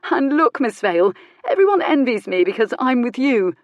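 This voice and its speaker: A woman's voice: a little high, very animated, moderate pace, dry recording, muffled recording, pitched above the performer's own voice. Woman voicing a showy young lord. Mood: boastful.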